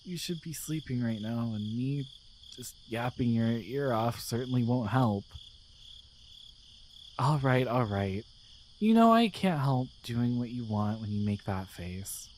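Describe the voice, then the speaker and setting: A man voicing a devoted, gentle guardian angel in an intimate romantic ASMR roleplay, speaking softly with tender, hesitant care. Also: mid-range voice, animated, measured pace, a little hiss or hum, close to an everyday voice. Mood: confiding, hesitant. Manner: soft, tender, hesitant, soothing. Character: devoted, gentle male guardian angel in love with their human.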